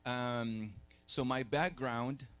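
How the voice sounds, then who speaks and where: A man's voice: medium pitch, fairly even intonation, a bit bright, smooth. Egyptian-born man giving a church talk. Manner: conversational, pauses to rephrase, takes questions. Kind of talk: church lecture to a live group. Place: church hall.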